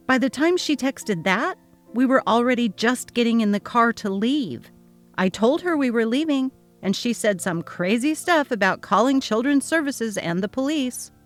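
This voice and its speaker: A woman voicing a fed-up, defiant young mother, reading a text-message story dramatically and emphatically. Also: a little high.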